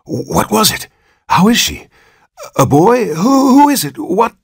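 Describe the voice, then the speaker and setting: A frantic Southern gentleman father, his voice pushed up high and gasping with panic, as a male reader puts him on. The questions tumble out in a lively, swooping rush. The sound is close and dry. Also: slow, gravelly, breathy edge, dry recording, full character voice.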